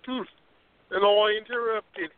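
A man's voice: high voice, fairly even intonation, a little slow, noisy background. A man playing a cheeky, mischievous neighbour with a teasing manner in a radio play. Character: Earl, cheeky, grinning male neighbour. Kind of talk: amateur radio play acting. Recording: thin phone-like audio, dry.

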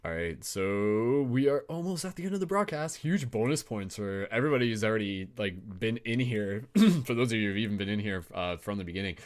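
Man, host, low voice, upbeat, encouraging, online round table. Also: lively intonation, a little fast, hardly any pauses. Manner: upbeat, encouraging, repeats "awesome". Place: online video call.

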